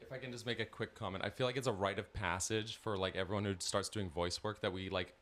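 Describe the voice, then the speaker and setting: A man's voice: lowish voice, steady tone, quick pace, a bit bright. A man on a convention panel, tired and losing his voice, talking in a rambling, self-deprecating way and laughing often. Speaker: voice actor and content creator on a panel. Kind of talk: casual panel chat.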